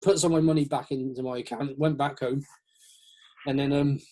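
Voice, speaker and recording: A man's voice: mid-range voice, fairly even intonation, brisk, dark tone, low-quality recording. A man in a casual, rambling, jokey chat, acting out little quotes as he goes. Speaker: host of a metal chat show.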